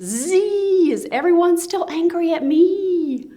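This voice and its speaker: A woman's voice: expressive, slow pace, mellow, heavily acted. A woman voicing a guilty female storybook mosquito with a whiny voice. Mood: guilty whining. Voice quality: high, whiny.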